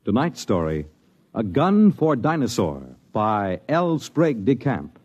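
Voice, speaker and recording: A man's voice: medium pitch, very animated, a little slow. A man with an American accent announcing a 1950s radio show in a grandiose, portentous, scripted style. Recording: muffled 1950s radio, loud music.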